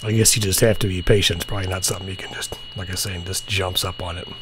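A middle-aged man chatting casually and musing in an unhurried, low-key way as he hosts a hobby video. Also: flat delivery. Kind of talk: casual chat while demonstrating radio software. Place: small indoor home room. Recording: humming, clearly noisy home recording.

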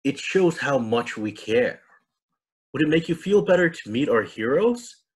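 A man voicing a kind, reassuring dragon in a storybook, gentle and encouraging. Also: medium pitch, big pitch swings, unhurried, breathy edge, voice pushed up.

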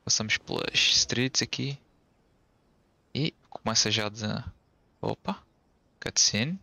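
A man's voice: medium pitch, a little slow, creaky, pushed and bright. A man casually reacting while playing a game. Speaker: streamer. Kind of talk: let's play commentary. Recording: game music behind.

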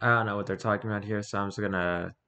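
A man's voice: fairly low pitch, steady tone, very fast, bright, forceful tone. A man reading aloud casually with wry offhand asides, sometimes stumbling over the words as he goes. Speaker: streamer reading and reacting to wiki pages.

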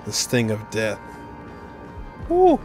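A man's voice: fairly low pitch, slow. Laid-back young man reacting to a game. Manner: relaxed, chatty, reacting aloud. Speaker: gaming reaction streamer. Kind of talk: casual reaction commentary. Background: game music.

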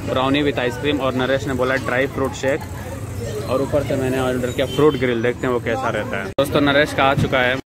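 A man's voice: medium pitch, little pitch movement, fast talker, a loud crowd. A young man chatting casually as a vlogger, filming himself on a phone at arm's length around a gym, city streets and a cafe, narrating what he sees. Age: young adult. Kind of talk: casual vlog chat. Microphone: phone camera held at arm's length. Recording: loud street noise behind.

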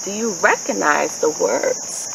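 A woman in her thirties reading a picture book expressively to children outdoors, addressing young listeners with questions in an animated, enunciated way. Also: lowish voice, slow pace, creaky. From Haitian American. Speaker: children's story reader, host. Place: outdoors in a leafy park. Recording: steady outdoor ambient noise with insects. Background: insects, birds, outdoor ambience.

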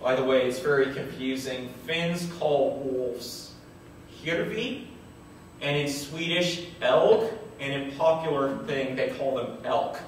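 A middle-aged man, a history professor, reading a scripted academic lecture in formal, deliberate phrasing. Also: medium pitch, recorded from a distance. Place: large indoor auditorium, at a podium on stage. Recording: echoey hall from afar, faint noise.

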